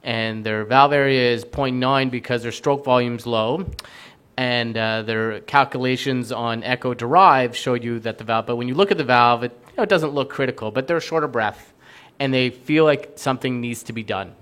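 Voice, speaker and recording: A man's voice: mid-range voice, moderate pace, fairly bright tone, smooth. A man on a medical panel, speaking thoughtfully and searching for his words. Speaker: panelist physician. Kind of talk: panel discussion.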